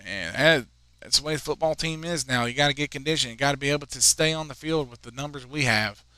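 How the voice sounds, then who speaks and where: A man in his twenties calling a high school soccer game, chatting in rambling asides between plays. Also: quick pace, sharp tone, clean tone, no echo. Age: 20s. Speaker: play-by-play commentator.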